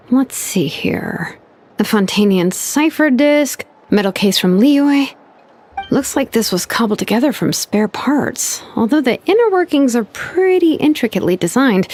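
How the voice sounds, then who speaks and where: A woman voicing a wry young engineer who muses aloud in an animated way. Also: a little high, slight background noise.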